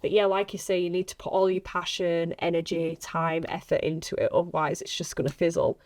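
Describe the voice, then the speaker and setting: A woman's voice: on the low side, little pitch movement, brisk, a little mellow. A woman actress in a casual podcast chat, earnest and encouraging, often repeating herself for emphasis. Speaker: actress guest.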